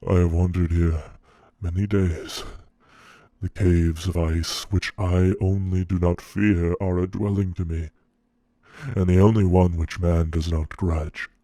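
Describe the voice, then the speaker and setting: A man performing a dramatic monologue as a wretched, bitter monster pleading with his creator, solemn and theatrical with halting pauses. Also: low-pitched, level tone, warm tone, no room sound. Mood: anguished pleading.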